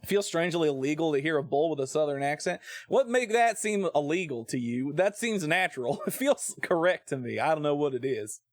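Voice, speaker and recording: An American man streams a game in a somewhat high-pitched voice, recorded very dry and close. He comments casually and jokingly, reads text aloud, and slips playfully into folksy, drawled asides. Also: animated, fairly quick, hardly any pauses, no echo.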